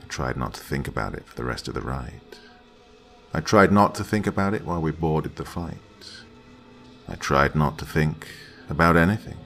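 Deep gravelly man narrating horror. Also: soft background music. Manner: slow, dramatic delivery with hushed whispered lines.

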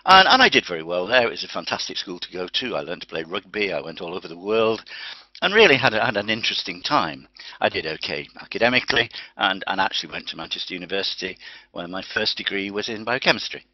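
An older Welsh man talks through a webinar from his home study, chatty and anecdotal, often restarting his phrases. His voice sits fairly low, and the webcam sound is dull and lo-fi but dry, with no room echo. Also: very animated, quick pace. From Wales. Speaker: guest speaker. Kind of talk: webinar presentation. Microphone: webcam on computer.

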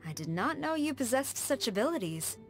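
An actress playing a stern, proud tengu warrior woman in a video game, with composed, firm and measured formal speech. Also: sits high, sing-song. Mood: reserved, polite. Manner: formal, measured, precise diction. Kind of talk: scripted video game character dialogue. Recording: studio voice over background music.

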